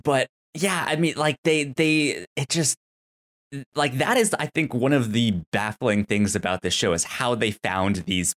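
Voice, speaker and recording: A podcast co-host chatting casually about a TV show, haltingly, often restarting words and phrases. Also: medium pitch, a bit bright.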